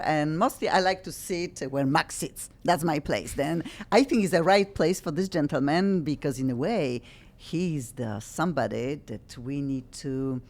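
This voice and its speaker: A woman hosting a talk show, speaking halting English with frequent restarts. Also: low voice, a little mellow. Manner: halting non-native English with many restarts. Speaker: radio and TV show host.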